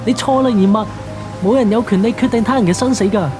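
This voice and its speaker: An actor with a Hong Kong Cantonese accent playing an earnest, righteous police investigator, stern and moralizing in a radio drama. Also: male voice, sits high, quick pace, over loud music, close to an everyday voice. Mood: urgent, pleading.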